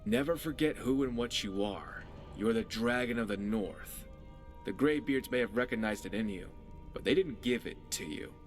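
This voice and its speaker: A man's voice: lowish voice, expressive, normal speed, faint music behind, natural voice. A man voicing a sardonic but loyal pirate companion in a fantasy video game, with a dry delivery. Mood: earnest. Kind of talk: scripted fantasy game dialogue.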